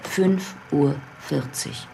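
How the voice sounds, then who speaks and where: An actress playing a proud elderly widow, reverently and emphatically guiding guests in a radio drama. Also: female voice, sits low, very slow, creaky, noisy background, heavily acted. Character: proud elderly widow showing her late writer husband's house. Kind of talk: radio drama acting as a house tour.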